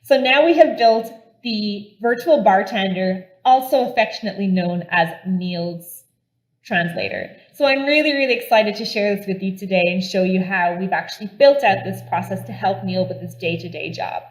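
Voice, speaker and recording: A woman's voice: mid-range voice, moderate pace, echoey room, rough recording. Upbeat Irish woman presenting a demo. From Ireland. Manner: upbeat and enthusiastic, explaining step by step. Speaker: conference presenter.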